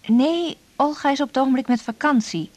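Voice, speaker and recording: An actress plays a woman taking a call in an eighties radio drama. She is patient and polite, with a slightly high voice. The sound is dry, without room echo. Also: brisk, darkish tone, lightly acted. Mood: polite, coaxing. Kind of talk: naturalistic 1980s radio drama dialogue.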